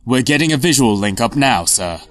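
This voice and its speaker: A man's voice: medium pitch, animated, a little fast, a bit bright, soft background music, lightly acted. A man playing a formal military aide in an audio drama, reporting in a clipped, dutiful way. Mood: urgent. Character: crisp male military aide reporting to the prime minister.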